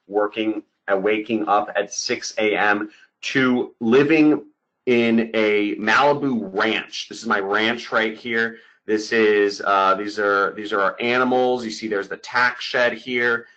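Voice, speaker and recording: Bright-voiced man pitching a webinar. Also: lo-fi sound. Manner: energetic, emphatic, salesy. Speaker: webinar presenter. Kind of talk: sales webinar pitch over slides.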